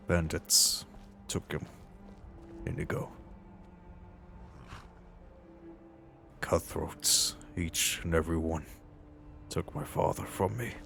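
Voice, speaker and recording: A man voicing an earnest, wistful mercenary adventurer in his thirties for a fantasy game roleplay, in a halting, reflective way. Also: low-pitched. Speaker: role-playing gamer voicing his character. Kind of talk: in-character fantasy game monologue.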